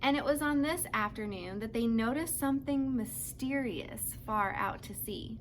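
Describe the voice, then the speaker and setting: A woman's voice: fairly high pitch, moderate pace, a little hiss or hum. A woman narrating a children's book as a read-aloud, telling the story to young listeners. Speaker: storybook reader.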